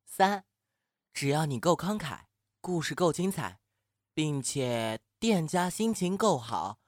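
A man's voice: fairly high pitch, very animated, takes it slowly, dry recording, clean studio sound. A man playing an awkward, skeptical young man in an audio drama, jumpy and easily flustered. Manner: stammering, jumpy, incredulous. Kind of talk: Chinese audio drama acting. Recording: faint music behind the voice.

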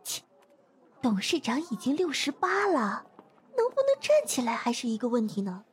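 A woman playing an excitable office gossip in a modern audio drama, mocking and conspiratorial. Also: high voice, moderate pace, a little hiss or hum. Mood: gleeful gossip.

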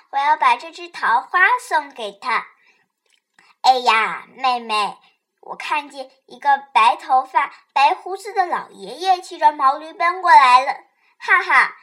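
A woman voicing lively, playful small children in a children's radio play, excitable and bookishly polite. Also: high-pitched, a little slow, full character voice. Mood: excited.

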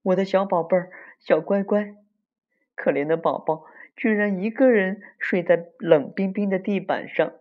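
A man voicing a doting, soft-hearted animal mother in a children's story, cooing tenderly. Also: high voice, normal speed, phone-quality sound, pitched above the performer's own voice, lightly acted. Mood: doting, pitying.

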